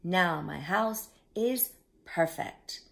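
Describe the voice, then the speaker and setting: Woman reading as a gentle, slow-talking hermit crab. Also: fairly low pitch, expressive, gravelly, heavily acted. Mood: pleased. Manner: polite, earnest storybook delivery. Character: gentle, earnest male hermit crab, friendly and polite.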